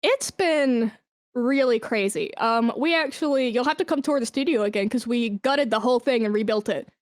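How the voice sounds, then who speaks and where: A woman's voice: fairly high pitch, moderate pace, dry recording. A woman speaking as an interview guest in casual, informal conversation, telling things with slangy asides. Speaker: podcast guest, esports brand manager.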